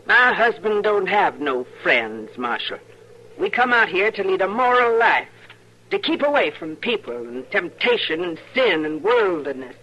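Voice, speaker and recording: A stern homesteader woman in a radio western, speaking with a rural Western American accent. She is curt, suspicious and sanctimonious, with a bright, lively-pitched voice over a faintly noisy old recording. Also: a little slow, dull audio. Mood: sternly pious. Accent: rural American West. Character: stern, suspicious, pious frontier homesteader wife.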